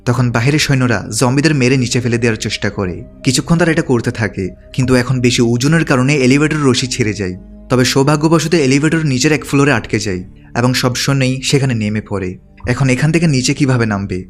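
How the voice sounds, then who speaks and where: A man's voice: mid-range voice, fast. A man delivering scripted narration as the narrator of a movie recap. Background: background music.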